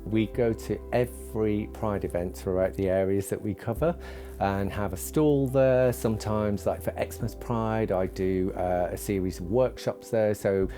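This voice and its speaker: A man's voice: on the low side, fairly even intonation, a little slow, few pauses. A man who works at a support charity, talking about the work in an explanatory, interview-like way. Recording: music playing behind.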